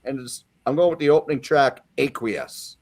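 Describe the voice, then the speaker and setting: A man's voice: mid-range voice, moderate pace, a little mellow. A man in his fifties, a panelist on a casual livestream music chat, enthusiastic and jokey. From Pennsylvania. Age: 50s.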